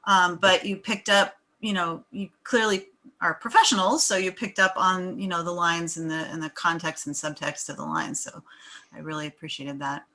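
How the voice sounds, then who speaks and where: A woman's voice: lowish voice, fairly even intonation, moderate pace, sharp tone. A woman screenwriter speaking casually and effusively on a video call, full of appreciation. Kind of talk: casual group chat.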